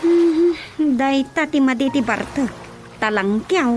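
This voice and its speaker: High-pitched nosy Ilocano auntie played by an actress. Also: female voice, expressive, takes it slowly. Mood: gossipy, musing. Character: nosy, chatty village auntie questioning a young girl. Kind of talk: Ilocano radio drama comedy acting. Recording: radio drama with loud music bed.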